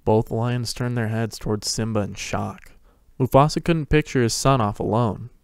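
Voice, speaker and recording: A man's voice: mid-range voice, normal speed, creaky, a little mellow, smooth, dry recording, clean studio sound. A man reading scripted third-person narration for a story.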